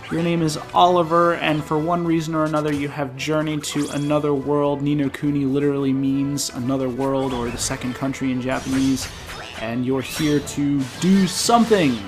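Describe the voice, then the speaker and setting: A man with a stuffy, nasal voice from an infection talks casually through a gameplay preview as a game editor. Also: fairly high pitch. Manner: casual, chatty, spontaneous.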